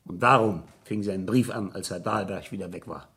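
An actor playing a shrewd, insistent police inspector in a 1970s German radio crime drama, questioning deliberately and sceptically. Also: male voice, low-pitched, pushed and bright, breathy edge, exaggerated voice. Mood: methodical, accusing.

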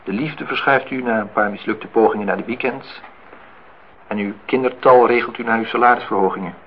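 An actor playing a disillusioned, reflective man in his thirties in a Dutch radio play, speaking in measured, philosophical phrases. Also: on the low side, close to an everyday voice. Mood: sardonic, lecturing. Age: 30s. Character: disillusioned, reflective man musing on truth and love. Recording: dull, noisy old radio play recording.

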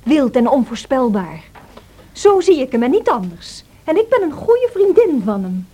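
High, animated, taunting young woman. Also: normal speed. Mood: haughty, warning. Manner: theatrical, taunting, haughty. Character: spirited, teasing, imperious young married woman. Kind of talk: radio drama acting. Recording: noisy old radio drama recording.

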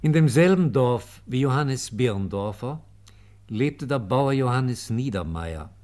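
Slow, sober male radio narrator. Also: medium pitch, animated, a little mellow. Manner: sober, measured. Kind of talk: scripted documentary-like radio narration.

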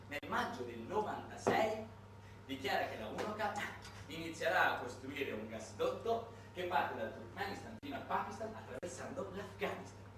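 Man, theatrical narration, dramatic pauses, distant microphone, strong room echo, noticeable noise. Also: on the high side. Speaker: stage actor and narrator. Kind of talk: theatrical narration in a solo stage monologue. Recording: echoey noisy hall, distant microphone.

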